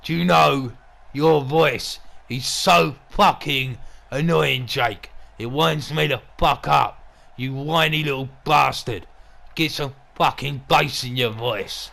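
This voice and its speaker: A man playing a gruff, bullying wrestling boss and commentator with a throaty growl and a crude, sweary, threatening way of talking. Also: medium pitch, slow, pushed and bright, full character voice. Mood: contemptuous. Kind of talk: wrestling commentary with in-character banter.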